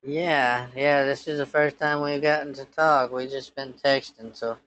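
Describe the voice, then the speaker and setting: A man's voice: medium pitch, fairly even intonation, measured pace, fairly bright tone, dull audio. A man in his twenties, a scambaiter posing as a son, chatting casually in a hesitant, rambling way. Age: 20s. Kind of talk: casual phone chat.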